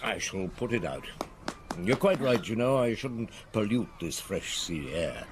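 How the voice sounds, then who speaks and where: An actor playing a shrewd, genial middle-aged chief inspector in a radio drama, unhurried and probing, humming thoughtfully. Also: male voice, on the low side, very animated, background noise. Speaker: actor playing the lead detective. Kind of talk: radio drama acting with first-person narration.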